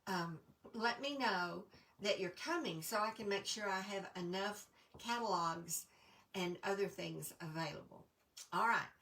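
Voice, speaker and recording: Stuffy-nosed woman, chatty crafting host, slow unhurried talk, a little far from the mic. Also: medium pitch, fairly bright tone. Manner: chatty, with frequent ums and throat clearing. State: congested from allergies. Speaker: crafting demonstrator. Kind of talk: casual live-stream chat.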